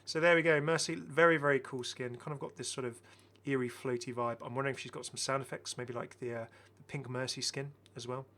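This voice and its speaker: A man's voice: mid-range voice, steady tone, fast, hardly any pauses, a bit bright. A middle-aged man giving enthusiastic, casual, chatty commentary as a gaming video host, often repeating his phrases. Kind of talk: casual game skin showcase commentary.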